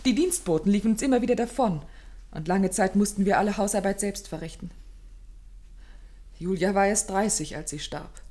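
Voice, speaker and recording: An actress playing an anxious, grieving young gentlewoman who tells her story formally and intensely in a German radio play. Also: mid-range voice, moderate pace, lightly acted. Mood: grave, composed. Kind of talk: German radio play acting, dramatic first-person narration.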